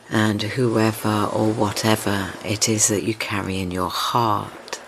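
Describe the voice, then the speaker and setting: Very slow, low, gravelly man guiding meditation. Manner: gentle, soothing, tender, unhurried with long pauses. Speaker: guided meditation teacher. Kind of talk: slow guided meditation, yoga nidra. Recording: steady ocean wave noise behind voice. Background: ocean wave sounds.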